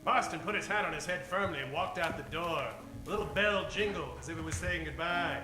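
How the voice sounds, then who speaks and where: A man playing the narrator and sponsor's pitchman of an old-time radio show, telling the story in a folksy style. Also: fairly quick, lightly acted. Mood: storytelling. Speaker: narrator and announcer. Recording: roomy sound with music behind.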